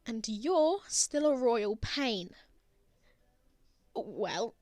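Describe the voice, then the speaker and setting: A woman playing a prickly, flustered, lovestruck young man in an intimate ASMR roleplay, with halting, sardonic delivery. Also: a little high, animated, a little mellow, no echo. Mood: awkward, apologetic.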